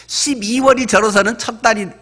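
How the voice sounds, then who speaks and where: A man's voice: very high-pitched, fairly even intonation, brisk, bright, forceful tone, slightly rough. A man around sixty, a pastor preaching in a conversational, explanatory way with asides and rhetorical questions. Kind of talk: sermon.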